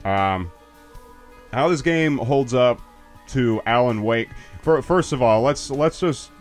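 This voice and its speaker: A man's voice: mid-range voice, expressive, takes it slowly, faint music behind. Chatty man commenting over game credits. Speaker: let's play commentator. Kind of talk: casual game commentary chat.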